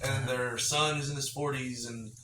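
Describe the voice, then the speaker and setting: A man co-hosting a podcast, rambling through stories with laughs and sudden loud put-on voices. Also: medium pitch, fairly even intonation, normal speed. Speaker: podcast co-host. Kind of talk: casual storytelling chat. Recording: roomy, far from mic, noisy.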